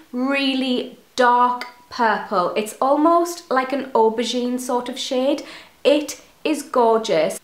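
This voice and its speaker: A young woman with a fairly high voice talks straight to camera about makeup, chatty and gushing with enthusiasm. A cold leaves her a little nasal. The echoey bedroom gives her voice some ring. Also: a bit bright. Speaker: beauty vlogger. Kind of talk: casual product review to camera. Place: bedroom, indoors. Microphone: camera in front at close range.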